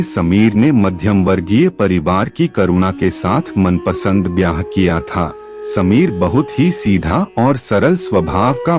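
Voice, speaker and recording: A man tells a scripted family drama in a low-pitched voice with lively swings of intonation. He takes his time over each sentence, and music plays clearly behind him. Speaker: storyteller. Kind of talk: scripted dramatic narration of a family moral story. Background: background music.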